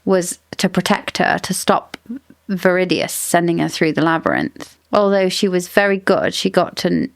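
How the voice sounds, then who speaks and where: A woman's voice: fairly low pitch, lively intonation, moderate pace, gravelly. A woman co-hosting a casual book-club podcast, thinking aloud as she builds theories. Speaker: podcast co-host.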